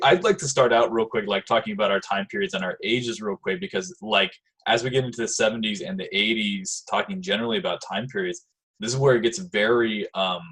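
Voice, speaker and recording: Chatty young man, husky voice. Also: fairly low pitch, fairly bright tone, poor audio. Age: 20s. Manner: chatty, lots of "like" fillers. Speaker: host. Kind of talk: casual chat on a video call. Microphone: video call.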